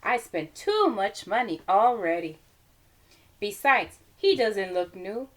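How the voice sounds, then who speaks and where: A woman voicing a tired mother with a gentle, sighing, reasoning manner in a storybook reading. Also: mid-range voice, big pitch swings, slow. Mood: weary, gentle.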